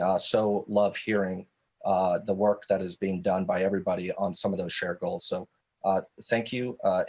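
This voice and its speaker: A man with a husky, somewhat low voice speaks on an online panel. His phrasing is formal and sounds prepared, like an official statement from a director. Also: a little mellow. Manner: formal, jargon-heavy phrasing. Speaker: panelist, economic development director. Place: video call.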